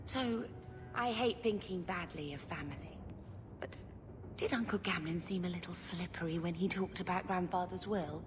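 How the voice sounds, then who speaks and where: A woman's voice: on the low side, moderate pace, big-room sound, over music, lightly acted. A woman playing a caring young sister in a fantasy video game, earnest and concerned. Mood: uneasy.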